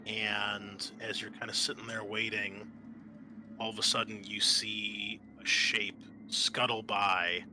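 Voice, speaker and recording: A man narrating a tabletop game as game master, explaining things in a halting, improvised way. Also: on the low side, flat delivery, pushed and bright, slight background noise.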